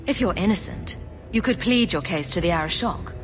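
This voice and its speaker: A woman playing a calm, diplomatic adventurer heroine in a video game, courteous and direct. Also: fairly low pitch, brisk, faint music behind, lightly acted. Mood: composed, reassuring. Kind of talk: video game cutscene dialogue.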